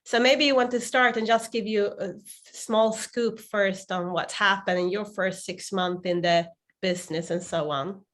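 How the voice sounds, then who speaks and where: A woman's voice: mid-range voice, fairly even intonation, normal speed, fairly bright tone. A woman hosting an online team call, chatty and drawn out with many fillers. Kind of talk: casual online meeting hosting.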